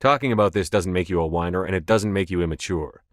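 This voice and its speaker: An actor playing a resolute sci-fi military commander in a video game, with calm authority and measured, firm, clipped delivery. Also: male voice, low-pitched, no room sound, studio quality, close to an everyday voice. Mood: earnest, intimate. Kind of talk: scripted video game dialogue.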